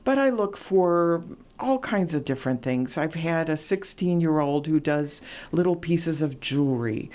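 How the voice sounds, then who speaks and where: A middle-aged woman speaks in a low, mellow voice. She shares stories in a reflective, conversational way, pausing on fillers now and then. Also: animated. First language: Latvian. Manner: warm storytelling, reflective, frequent fillers. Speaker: radio show host, interview guest. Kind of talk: casual interview chat.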